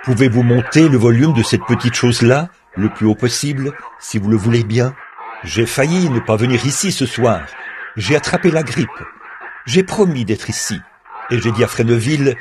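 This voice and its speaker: A man reading a translated sermon aloud in an earnest, measured preaching manner. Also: mid-range voice, animated, background noise. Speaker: French reader of a translated sermon.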